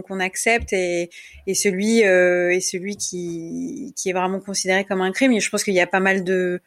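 A woman co-hosting a casual discussion podcast, talking hesitantly with many fillers and restarts. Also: mid-range voice. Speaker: podcast co-host.

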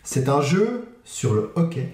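A man's voice: mid-range voice, sing-song, unhurried, a little mellow, reverberant. A man co-hosting a podcast, chatting casually about board games with many hesitations. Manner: rambling, many "euh" hesitations. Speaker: podcast co-host.

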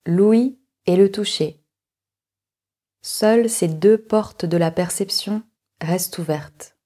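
Very slow, mellow woman guiding relaxation. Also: medium pitch. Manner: calm, measured instructions with long pauses. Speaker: yoga nidra instructor. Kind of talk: guided relaxation meditation.

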